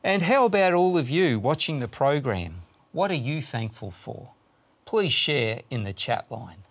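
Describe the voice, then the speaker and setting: A man's voice: medium pitch, sing-song, unhurried. A middle-aged man, a pastor, hosting a church broadcast in a studio with scripted, welcoming lines. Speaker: pastor and host. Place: studio with blue screens.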